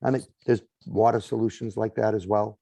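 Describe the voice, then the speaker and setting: A man with a Boston accent explains home systems in long conversational runs, tagging his points with a quick "right?". His voice sits low with a gravelly edge and a deeply mellow tone. It is recorded dry and close. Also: fairly even intonation, no echo. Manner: explanatory, lists items, frequent "right?" tags. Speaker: smart home company guest. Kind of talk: conversational interview.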